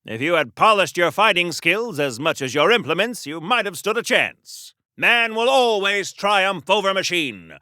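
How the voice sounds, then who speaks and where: A golden age American superhero for a video game, grandiose and eager for battle. The voice sits high for a man and swings widely in pitch, declaiming florid lines in 1940s radio serial fashion. It is recorded close and dry. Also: animated, moderate pace, hardly any pauses, sharp tone, no room sound. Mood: taunting, triumphant. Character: pompous, gung-ho golden age American comic-book superhero.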